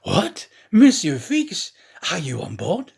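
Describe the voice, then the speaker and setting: Man playing an astonished, high-swooping, chatty manservant. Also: huge pitch swings, slow, gravelly, a little mellow, slightly rough, heavily acted. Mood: astonished, puzzled. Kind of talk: acted audiobook dialogue.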